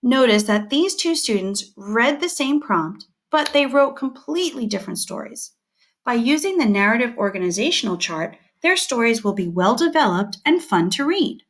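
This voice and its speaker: A woman teacher reading scripted instructional material aloud, setting out headings and short points in a measured, deliberate way. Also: on the high side, very animated.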